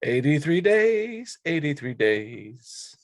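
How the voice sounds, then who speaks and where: A man's voice: a little high, big pitch swings, takes it slowly, no room sound, studio quality. A man hosting a sports podcast, chatting casually and bantering with co-hosts.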